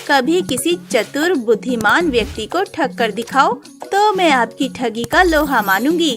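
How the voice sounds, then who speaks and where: A woman playing a swindler's teasing, challenging village wife in an animated folk tale. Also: high voice.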